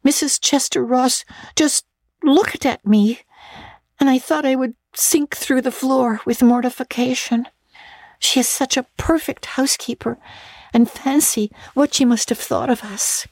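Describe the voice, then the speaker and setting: A woman's voice: high voice, fairly even intonation, unhurried, a little mellow, no room sound, pitched above the performer's own voice. A woman voicing an imaginative, earnest girl who talks breathlessly and theatrically with stammering restarts. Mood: dramatic.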